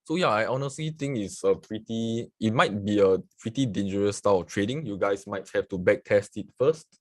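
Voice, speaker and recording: A man's voice: lowish voice, a little fast, a little mellow, no room sound. A man from Singapore who teaches forex trading on a livestream, talking casually through chart examples and often saying "so yeah". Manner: casual, repeats "so yeah", greets viewers from the chat. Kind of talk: live screen-share market analysis with chat.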